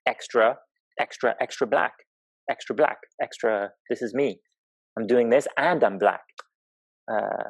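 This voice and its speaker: A man from Zimbabwe speaking reflectively in conversation, thoughtful and halting as he searches for words. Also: mid-range voice, no room sound. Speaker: interview guest, professional climbing route setter.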